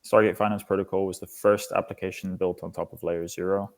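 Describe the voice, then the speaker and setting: Very low-voiced young man, interview guest. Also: little pitch movement, brisk, vocal fry. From Singapore. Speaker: interview guest, foundation lead. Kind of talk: interview answers, explanatory talk.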